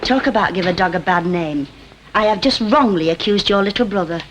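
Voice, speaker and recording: An actress playing a brassy, weary, sharp-tongued middle-aged working-class mother with a Lancashire accent in a 1960 television soap. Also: medium pitch, expressive, brisk, background noise, lightly acted. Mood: indignant. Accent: Northern English, Lancashire. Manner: blunt, nagging, wry. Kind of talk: 1960s British television soap acting. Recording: old 1960 television soundtrack.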